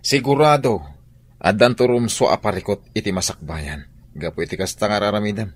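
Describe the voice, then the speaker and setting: A man's voice: lowish voice, a little fast, breathy edge. A man with an Ilocano accent playing a godfather who lectures a younger man in a radio drama. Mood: scolding. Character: older man, godfather giving advice.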